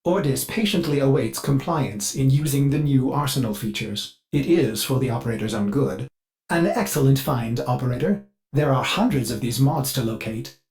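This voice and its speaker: A man's voice: medium pitch, moderate pace, a little mellow, lightly acted. A man voicing a fussy, eager ship artificial intelligence in a video game, polite and theatrical, with a manic edge under the courtesy. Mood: courteous, helpful. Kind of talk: scripted video game character dialogue.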